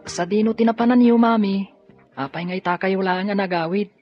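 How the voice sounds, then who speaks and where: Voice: mid-range voice, brisk, faint music behind, lightly acted. An actor playing a curious, eager young student son in a radio drama, asking questions with youthful keenness. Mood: eager, disappointed. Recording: dull, dry studio audio.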